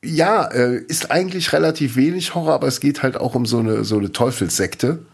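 A man in his forties co-hosting a casual podcast chat, telling stories in a lively way and laughing often. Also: medium pitch, a little fast, vocal fry, slightly rough. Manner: laughs a lot, lively storytelling. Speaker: podcast co-host.

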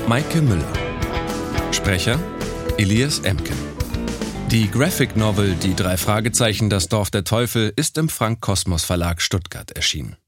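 Man, announcer, formal, measured, lowish voice, loud guitar backing. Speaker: narrator. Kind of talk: scripted closing announcement and credits. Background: guitar music.

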